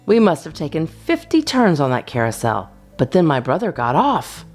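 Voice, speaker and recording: A woman host giving an expressive, theatrical reading of a children's story. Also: low voice, normal speed, faint music behind.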